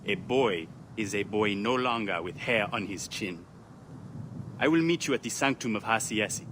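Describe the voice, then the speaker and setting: An actor playing an earnest, imaginative royal son who longs to be a scribe, speaking formally and poetically in a video game. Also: male voice, lowish voice, lively intonation, moderate pace, noisy background, natural voice. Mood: resolute. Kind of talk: dramatic game acting.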